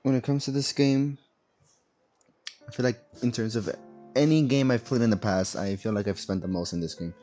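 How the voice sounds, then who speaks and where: A man's voice: mid-range voice, steady tone, moderate pace, mellow, faint music behind. A man casually chatting while streaming a game, rambling with lots of fillers and self-corrections. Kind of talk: casual gaming stream chat. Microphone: laptop streaming setup.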